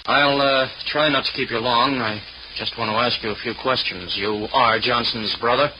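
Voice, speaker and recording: An actor playing a confident, probing police inspector in an old radio mystery. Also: male voice, mid-range voice, steady tone, normal speed, muffled recording, natural voice. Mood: pressing. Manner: probing, assertive. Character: brisk, confident male police inspector questioning suspects. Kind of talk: 1940s radio mystery acting. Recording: noisy old-time radio recording.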